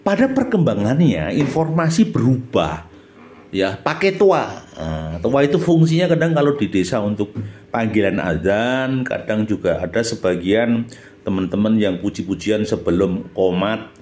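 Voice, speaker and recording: Animated middle-aged man with a Javanese accent. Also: lowish voice, a little slow. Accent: Javanese Indonesian, Central Java. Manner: chatty, often checks in with listener using questions. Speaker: channel host, former radio announcer. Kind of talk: reminiscing monologue with a companion chiming in.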